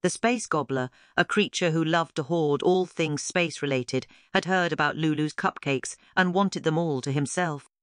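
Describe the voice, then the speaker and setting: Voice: medium pitch, moderate pace, few pauses, clean tone, no room sound, clean studio sound. A narrator reading a scripted children's story aloud as a storyteller.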